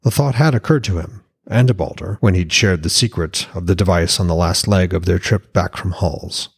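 A man's voice: deep voice, moderate pace, gravelly, slightly rough, no room sound, clean studio sound. A man reading his own fantasy novel as a podcast narrator, in an audiobook storytelling style.

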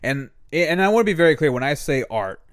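A man's voice: on the high side, lively intonation, dry recording. Argumentative young man, dry close sound. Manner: argumentative, measured, profane. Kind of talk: casual chat.

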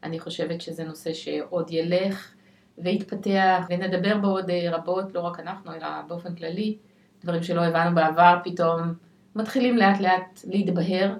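A woman's voice: fairly low pitch, steady tone. Distant, echoey Israeli woman podcast host. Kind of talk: conversational podcast hosting. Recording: echoey room, distant from microphone.

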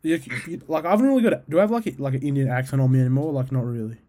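A man's voice: mid-range voice, racing, warm tone. A young Australian man hosting a casual podcast with friends, rambling and swearing with lots of fillers. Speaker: podcast host. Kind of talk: casual chat among friends.

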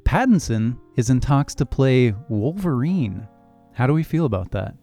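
A man's voice: mid-range voice, normal speed, faint music behind. A young man co-hosting a casual film podcast, moving the talk along through a list of topics. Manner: relaxed, steers the segment through a list. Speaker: podcast co-host. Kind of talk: casual chat.

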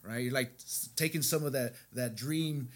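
A man's voice: mid-range voice, moderate pace. An American man hosting a casual interview, speaking in an encouraging, conversational way and often checking in with short questions. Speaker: podcast host.

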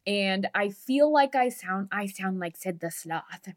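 A woman's voice: mid-range voice, lively intonation, measured pace. A woman with a lisp, hosting a solo podcast as a coach, talking in a frank, emphatic, conversational way and swearing freely. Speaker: podcast host and marketing coach. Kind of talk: candid solo podcast talk.

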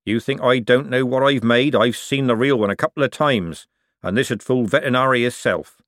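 A man's voice: mid-range voice, normal speed, fairly bright tone, no echo, clean studio sound, voice pushed up, lightly acted. A man voicing a greedy, sly old male craftsman who growls in coarse working-class speech. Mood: gruff.

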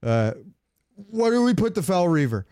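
A man's voice: high voice, sing-song, moderate pace, mellow, clean tone, no room sound, studio quality. A man co-hosting a podcast, chatting casually about video games and rambling as he searches for words. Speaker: podcast co-host.

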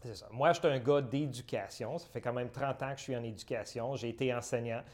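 Measured middle-aged man. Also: mid-range voice, a little mellow. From Northern Ontario, Canada. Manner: measured, list-like phrasing with frequent "euh". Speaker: guest, community centre director. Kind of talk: interview answers.

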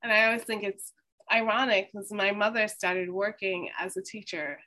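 Woman, interview host, bright clear voice, slow measured delivery. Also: medium pitch, steady tone. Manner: slow, measured, scripted-sounding introduction. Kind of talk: oral history interview.

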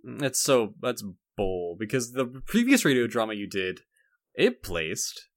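A man co-hosting a podcast in casual chat, speaking in an animated, emphatic way. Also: mid-range voice, a little slow. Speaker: podcast co-host.

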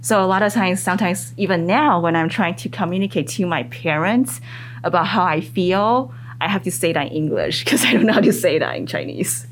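A woman psychologist whose first language is Mandarin, talking in a casual interview with thoughtful, lively rises in her voice. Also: on the low side, brisk, slight background noise. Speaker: psychologist guest.

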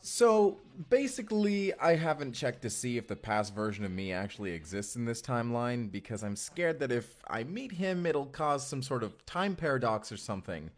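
A man's voice: medium pitch, very animated, moderate pace, few pauses, close to an everyday voice. An actor playing an earnest, anxious young man stranded from the future, talking in a hesitant, rambling, conversational way in an audio roleplay. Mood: pleading, sheepish. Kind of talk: first-person audio roleplay acting. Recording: music behind the voice.

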